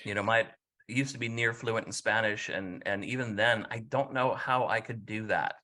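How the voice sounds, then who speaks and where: A man's voice: lowish voice, steady tone, normal speed, sharp tone. A man interviewing a fellow scholar, asking questions conversationally and responding with affirming, enthusiastic asides. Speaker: interviewer, professor.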